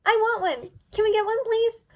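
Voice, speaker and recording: A woman's voice: very high voice, moderate pace, dull audio, voice pushed up. A woman voicing an eager, easily distracted young female pony in a storybook reading. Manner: eager, pleading, bubbly.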